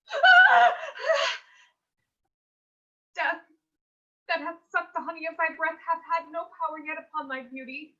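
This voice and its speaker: A woman's voice: high voice, pushed and bright, lo-fi sound, heavily acted. A woman playing a frightened, brave young babysitter as a Shakespearean heroine in a declamatory, anguished staged reading. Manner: declamatory, anguished, archaic verse.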